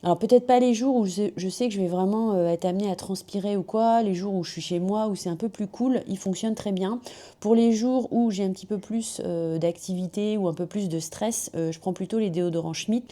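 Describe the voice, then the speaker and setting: A woman from France, a beauty vlogger, chatting casually about finished products at home, slightly hoarse from a cold, with lots of fillers and self-corrections, on a small clip-on mic. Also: medium pitch, brisk, warm tone. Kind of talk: casual product review chat. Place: at home with a machine running nearby. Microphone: small magnetic clip-on mic.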